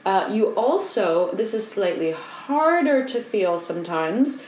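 A woman voice coach giving a calm, explanatory lesson and guiding the listener through simple humming exercises. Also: mid-range voice, slow pace, roomy sound, a little hiss or hum. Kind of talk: instructional voice lesson.

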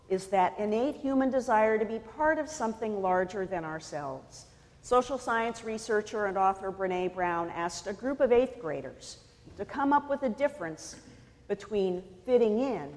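A woman from California preaching a sermon in a measured, deliberate way, pausing for emphasis. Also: medium pitch, expressive, in a large hall. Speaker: pastor.

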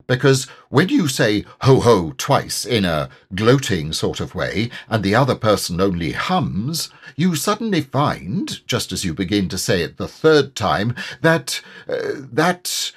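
A man voicing a kindly, slow-witted toy bear who talks in a thoughtful, hesitant way in a children's storybook. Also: fairly low pitch, sing-song, husky, exaggerated voice. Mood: pondering, hesitant.

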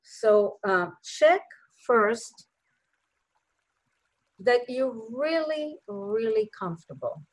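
A woman leading a guided meditation in a gentle, soothing manner, leaving long pauses between short phrases. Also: on the high side, sing-song, rough recording.

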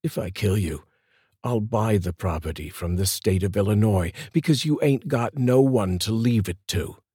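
A man playing a menacing, greedy thug who sneers and insults, with slow threats. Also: lowish voice, mellow, slightly rough, no room sound, studio quality. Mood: sneering threat.

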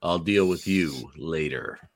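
Guy doing imperious mutant supervillain, really deep voice, scornful and grand, radio play. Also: expressive, slow, full character voice. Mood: cold menace. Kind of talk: live radio theatre script reading.

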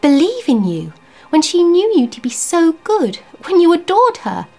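A woman reading the part of a gentle, devout young woman in an audiobook ghost story, emotional and pleading. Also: medium pitch, very animated, brisk, slightly rough, soft background music.

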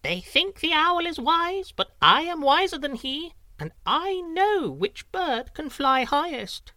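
A man voicing a small, boastful female wren in a storybook tale, eager and shrill. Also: big pitch swings, slow, sharp tone, no room sound, heavily acted.

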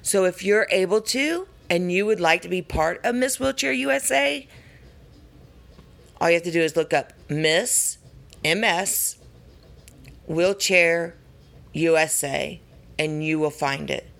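A woman with a raspy voice talking earnestly and encouragingly to her viewers in a casual vlog. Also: fairly low pitch, a little slow, long pauses, fairly bright tone. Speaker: pageant contestant. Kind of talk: casual vlog talk to camera.

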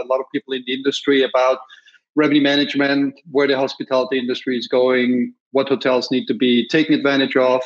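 A middle-aged man with an Austrian accent, talking through industry questions in a measured, optimistic way, with plenty of ums. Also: medium pitch. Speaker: podcast host and industry guest. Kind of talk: conversational interview answers. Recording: slightly dull lo-fi audio.

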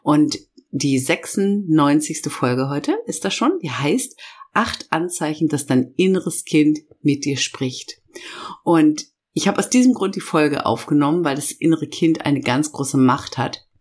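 A middle-aged woman hosts her own self-help podcast, and a cold has left her voice hoarse. She talks straight to the listener, slowing down to lean on each feeling she names. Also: low voice, expressive, a little mellow. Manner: slow, emphatic listing of feelings. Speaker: podcast host and inner-child coach. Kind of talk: warm guided podcast talk.

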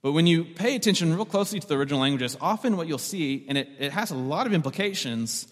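A man's voice: mid-range voice, big-room sound. A man preaching a sermon as the pastor, conversational and joking, often repeating words as he restarts a thought.

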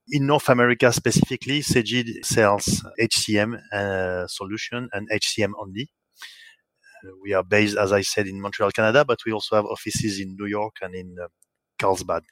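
Brief: French-accented male guest, low-pitched, halting delivery, dry close mic. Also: fairly bright tone, no room sound. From France. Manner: hesitant, many restarts and fillers. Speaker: guest, software company executive. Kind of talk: podcast interview answers.

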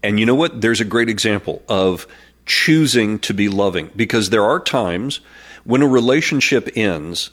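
A man's voice: on the low side, lively intonation, moderate pace, a bit bright. A man in his forties, nasal from a cold, co-hosting a casual faith-based chat in an earnest, playful way. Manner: earnest, playful, slips into mock voices. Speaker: podcast co-host.

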